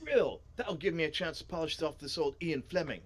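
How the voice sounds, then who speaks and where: A man's voice: fairly high pitch, animated, fairly quick, voice pushed up. A man voicing a snooty lad in a story game, dramatic and pompous in manner. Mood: theatrical, disdainful. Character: snooty, theatrical British cricket-fan lad, bored by books.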